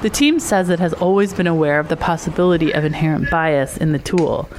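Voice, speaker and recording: A woman reporter reading a scripted news report in a measured, even way. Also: deep voice, noisy background.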